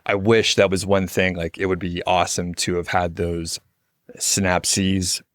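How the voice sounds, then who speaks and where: A man's voice: low-pitched, little pitch movement, measured pace, no room sound, clean studio sound. A man hosting a podcast, talking in a friendly, informal way with lots of casual fillers. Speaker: podcast host. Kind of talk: casual interview chat.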